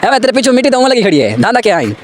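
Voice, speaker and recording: A man vlogging casually outdoors in the countryside, slightly hoarse from a sore throat, chatty and excited. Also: very high-pitched, racing, slight background noise. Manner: chatty, excited, calls out to people. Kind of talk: casual vlog narration.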